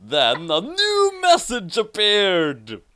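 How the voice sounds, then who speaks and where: Woman reading with wildly swooping pitch. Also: huge pitch swings, drawn-out words, fairly bright tone. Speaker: co-host of a comic fan fiction reading. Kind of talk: dramatic fan fiction reading with chat.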